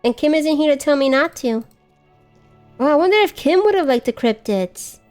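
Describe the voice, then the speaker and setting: A young woman live-streaming a video game, giggly and animated as she reacts and chats. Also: high-pitched, moderate pace, soft background music.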